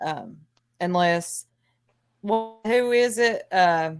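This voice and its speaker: A woman's voice: on the low side, very slow, clear, resonant tone, soft background music. Easygoing talkative woman co-host. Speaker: podcast co-host. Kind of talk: casual group chat.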